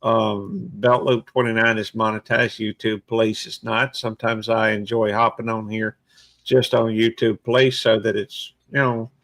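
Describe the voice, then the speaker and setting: A man's voice: fairly low pitch, little pitch movement, a bit bright, dry recording. Plainspoken sixty-year-old man, dry sound. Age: 60s. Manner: plainspoken, halting with fillers. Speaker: livestream host. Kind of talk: casual chat.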